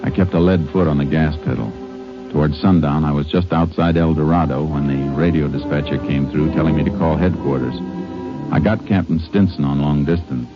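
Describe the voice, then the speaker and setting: An American actor playing a steady, laconic Texas Ranger who narrates his own manhunt in 1950s radio drama style. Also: very deep voice, a little fast. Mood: grim narration. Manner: laconic, terse, matter-of-fact. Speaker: lead actor and first-person narrator. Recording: dull vintage radio recording with music.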